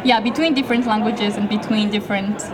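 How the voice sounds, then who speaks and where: A young woman who grew up in Japan, a graduate student, speaking softly and hesitantly in a casual interview. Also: mid-range voice, fairly even intonation, fast talker. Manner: soft, hesitant, light laughs. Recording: loud crowd noise behind. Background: crowd chatter.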